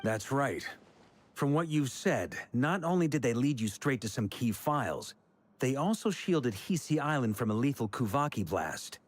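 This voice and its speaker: A man playing a seasoned, easygoing middle-aged knight commander in a video game, speaking in a measured, reassuring, thoughtful way. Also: medium pitch, big pitch swings, dry recording. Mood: warm, thoughtful.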